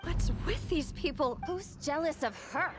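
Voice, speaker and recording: A woman voicing an earnest young heroine in a video game, soft-hearted and hesitant. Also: sits high, a little slow, music in the background. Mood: bewildered, anguished.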